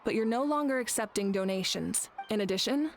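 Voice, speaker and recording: An actress voicing a composed, compassionate female ruler with a measured, formal way of speaking in an anime game. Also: medium pitch, a little hiss or hum, natural voice. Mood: decisive.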